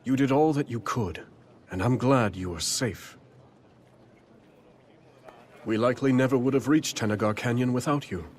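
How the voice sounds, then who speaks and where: An actor playing a noble, kindly king in a video game, speaking in a grave, measured, regal manner. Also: medium pitch, a little mellow, a little hiss or hum, natural voice. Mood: reassuring. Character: noble, solemn, kindly king guarding his realm. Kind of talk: video game cutscene acting. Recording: music behind the voice.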